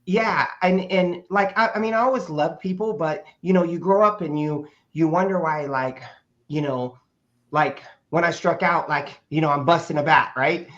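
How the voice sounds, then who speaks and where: A man in his fifties from Portland, Oregon, a raspy-voiced guest, hoarse from a weekend of speaking and telling his story in a rambling, casual way full of fillers and restarts. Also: on the high side, normal speed, fairly bright tone. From Portland, Oregon, USA. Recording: dull lo-fi audio.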